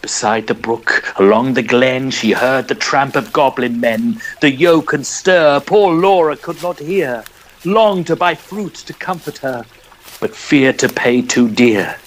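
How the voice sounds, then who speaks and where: A man narrating a poem in a theatrical, measured storytelling style. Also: medium pitch, slight background noise, low-quality recording. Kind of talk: dramatic poetry reading, storytelling.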